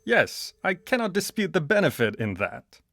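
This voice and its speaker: A haughty, formal leader from a strategy game, voiced by a man. The voice is fairly high and swings widely in pitch, recorded very dry and close. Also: big pitch swings, moderate pace, vocal fry, sharp tone, no echo, exaggerated voice. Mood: diplomatic. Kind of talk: scripted game dialogue.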